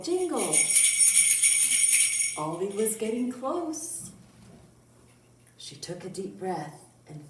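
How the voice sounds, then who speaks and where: A woman reading a picture book aloud to young children as a storytime librarian, with drawn-out words and dramatic emphasis. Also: a little high. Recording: roomy echo, distant mic, faint noise.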